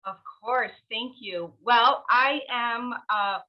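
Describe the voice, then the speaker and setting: A woman's voice: on the high side, slow pace. Chatty Venezuelan-born woman guest, muffled. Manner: warm, chatty, with hesitant fillers. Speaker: guest, actress and producer. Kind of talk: interview conversation.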